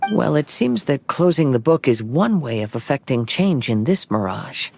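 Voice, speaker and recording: A man playing a polite, thoughtful young companion with formal, measured delivery in a video game. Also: medium pitch, big pitch swings, gravelly, a little mellow, a little hiss or hum. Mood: pensive. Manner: courteous, formal. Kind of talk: video game character acting.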